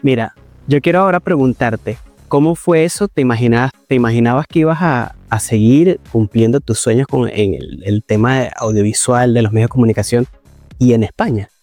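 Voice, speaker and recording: A man's voice: mid-range voice, a little fast, darkish tone, no room sound, faint music behind. A man presenting and interviewing as the host of a television magazine show.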